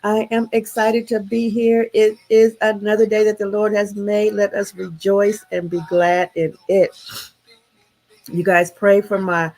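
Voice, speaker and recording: A woman's voice: medium pitch, little pitch movement, a little slow, darkish tone, low-quality recording. A woman with a stuffy nose hosting a morning motivation live stream over a choppy internet connection, calling listeners to wake up. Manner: repeats rousing wake-up calls. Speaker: morning show host. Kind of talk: upbeat live-stream pep talk. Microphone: live-stream over internet with a poor connection.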